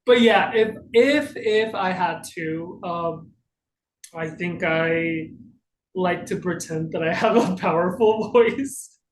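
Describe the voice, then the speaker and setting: A man's voice: sits high, takes it slowly. A man co-hosting a casual question-and-answer chat in a hesitant, self-deprecating way. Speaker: podcast co-host. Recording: echoey room, faint noise.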